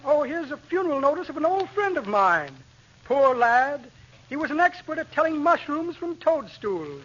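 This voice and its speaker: High-pitched pompous old-time radio blowhard. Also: male voice, big pitch swings, normal speed, fairly bright tone, heavily acted. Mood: muttering, rambling. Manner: grandiloquent, theatrical. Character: pompous, flowery, slightly shady male blowhard. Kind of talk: 1940s radio comedy acting. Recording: noisy, muffled vintage radio recording.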